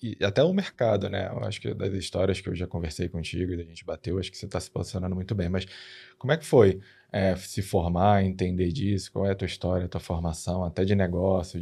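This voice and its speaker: Low-voiced guy hosting a podcast, dark mellow tone, kinda hesitant, repeats words. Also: breathy edge. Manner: hesitant, repeats words while asking questions. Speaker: podcast host. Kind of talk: casual interview.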